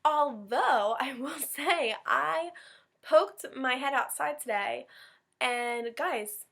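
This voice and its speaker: A woman's voice: high voice, expressive, takes it slowly, vocal fry, fairly bright tone. A woman teacher chatting informally and animatedly to young children during a read-aloud.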